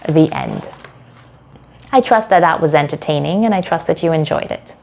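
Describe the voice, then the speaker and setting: A woman narrating a short story from a script in a measured, dramatic storytelling delivery. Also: low-pitched, huge pitch swings, gravelly, darkish tone.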